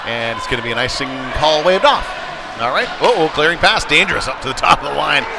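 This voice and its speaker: A man's voice: on the high side, lively intonation, quick pace, vocal fry, crowd noise. A man doing live play-by-play commentary of a youth ice hockey game, in a folksy, home-team way. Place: indoor ice rink, at the game. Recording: loud rink noise with puck slams. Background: loud rink ambience, bangs.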